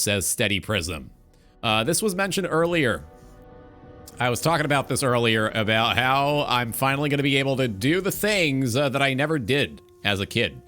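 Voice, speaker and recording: Faint music plays behind a young man with a mid-range voice. He hosts a casual livestream talk show in a radio style. His delivery has an announcer's showmanship, with deliberate, drawn-out phrasing. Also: mid-range voice, fairly bright tone. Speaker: talk show host, game streamer. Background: background music.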